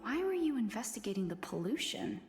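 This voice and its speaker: An earnest teenage girl, as a woman reading the game text puts her on, asks questions in a plain, fairly high voice. The delivery stays close to simple reading aloud rather than acting. Soft game music sits faintly underneath. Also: very animated, fairly quick. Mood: curious.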